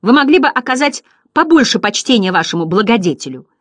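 Indignant boy for a storybook, read by a woman: lively, higher pitch, emphatic, exasperated, self-important. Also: takes it slowly, no echo, studio quality, exaggerated voice. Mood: angry. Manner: loud, emphatic protesting. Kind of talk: storybook character voice.

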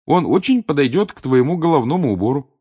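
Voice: medium pitch, sing-song, fast, dark tone, slightly rough, pitched above the performer's own voice. A storybook reader voicing a friendly, generous teenage boy with an easygoing, chatty way of talking.

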